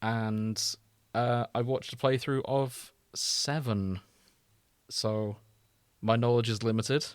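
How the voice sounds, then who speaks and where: A man's voice: mid-range voice, steady tone, slow, clear, resonant tone, no echo. A man talks as a podcast host in loose, casual banter. He teases his co-hosts and swears freely, and the sound is close and dry with no room around it. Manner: teasing, sweary.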